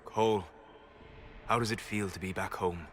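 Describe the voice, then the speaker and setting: A reflective male elf scholar for a fantasy game, played by an actor. He speaks in a measured, rather low voice over faint ambient noise. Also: steady tone, natural voice. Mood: gentle. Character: calm, scholarly male elf mage, wondering. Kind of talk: dramatic fantasy game acting.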